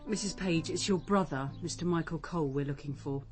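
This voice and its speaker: An actress plays a policewoman in a radio drama. Her voice is a little low and composed, and she questions with polite insistence. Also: a little fast, a little mellow, soft background music, lightly acted. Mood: composed, insistent.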